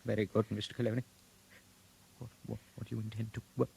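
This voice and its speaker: An actor playing a nervous, cowed crime underling, stammering and deferential, in a radio comedy drama. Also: male voice, on the low side, little pitch movement, fast, vocal fry, dark tone, slightly rough, exaggerated voice. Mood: nervous, apologetic.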